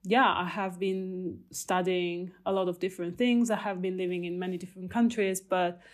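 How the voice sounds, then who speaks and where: Italian-accented young woman, academic, thoughtful, halting delivery with restarts. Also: on the low side, fairly even intonation. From Italy. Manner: thoughtful, many fillers and restarts. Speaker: researcher. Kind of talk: reflective conversational talk.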